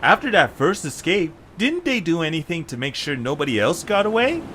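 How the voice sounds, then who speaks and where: Through a noisy home recording comes an excitable young adventurer, acted by a man in an audio drama. The voice sits fairly high for a male and swings widely, earnest and full of exclamation. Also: a little fast. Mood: puzzled. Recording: clear background hiss and noise.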